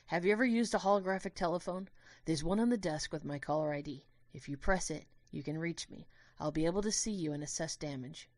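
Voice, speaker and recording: Low-voiced, guarded teenage boy, played by a woman. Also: a little fast, a little mellow, no echo, lightly acted. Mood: anxious, protective. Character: guarded, brooding teenage boy, anxious and tender. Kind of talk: audio drama acting.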